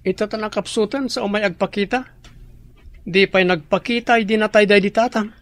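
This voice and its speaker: A man's voice: high voice, fairly even intonation, brisk, fairly bright tone. A man playing a bitter, resentful young adult younger brother in a radio drama.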